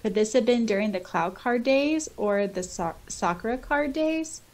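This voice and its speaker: A woman's voice: a little high, lively intonation, normal speed, a little mellow. A woman co-hosting a podcast, reading out listener comments and chatting casually with a giggly, conversational manner. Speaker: podcast co-host.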